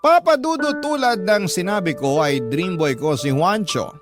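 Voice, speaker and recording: Higher-voiced male radio storyteller over music. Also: lively intonation, moderate pace. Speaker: radio host and story narrator. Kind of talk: dramatic reading of listener's love letter. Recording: music clearly behind the narration.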